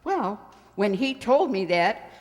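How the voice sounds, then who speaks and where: A woman's voice: medium pitch, big pitch swings, slow. A woman playing a fluttery, chatty, indignant lady librarian in an old-time style radio mystery, fussy and dramatic. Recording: reverberant hall.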